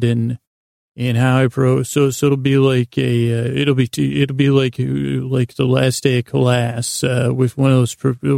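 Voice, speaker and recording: Middle-aged American male bedtime host: dark, relaxed, heavy vocal fry, lulling delivery, meandering and self-deprecating. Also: medium pitch, dry recording, clean studio sound. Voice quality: lulling, soothing tone. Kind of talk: rambling soothing sleep-podcast monologue.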